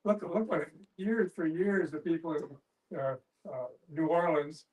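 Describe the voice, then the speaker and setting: An older man talking in a halting, emphatic, folksy way in a casual group discussion. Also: on the high side, a little mellow, recorded from a distance, poor audio.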